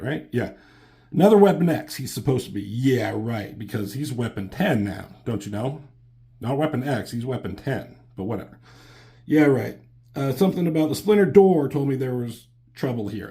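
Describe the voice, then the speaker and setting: A man in his thirties, just getting over a cold so his voice sounds slightly off, reviewing comics at home in a loose, halting way, full of ums and casual swearing. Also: medium pitch, warm tone. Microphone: camera mic.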